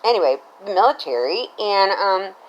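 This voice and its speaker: A woman's voice: mid-range voice, lively intonation, normal speed, highs cut off. A middle-aged American woman telling a personal story casually, in a rambling, conversational way, often checking in with the listener. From Cincinnati, Ohio, United States.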